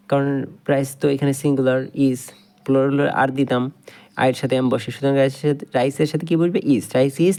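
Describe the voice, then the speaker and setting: A male teacher with a Bangladeshi accent and a dark, mellow voice at a medium pitch. He explains grammar step by step, going back over points and posing questions that he answers himself. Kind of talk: step-by-step grammar lesson at a board.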